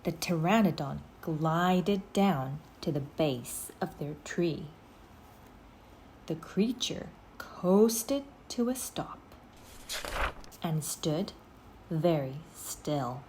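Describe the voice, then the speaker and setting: A woman reading a children's story aloud in an expressive, scripted storytelling style. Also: low voice, extremely slow, slight background noise.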